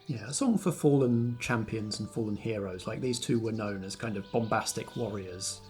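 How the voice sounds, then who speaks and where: A man's voice: on the low side, moderate pace, a little mellow, faint music behind. A man acting as game master, narrating a tabletop game with descriptive, storytelling phrasing.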